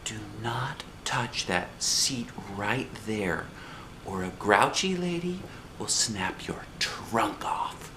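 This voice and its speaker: A man voicing an excitable, bossy first-grade girl from a storybook in a dramatic, childish manner. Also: fairly low pitch, takes it slowly, slight background noise, full character voice, rough recording. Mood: secretive whisper.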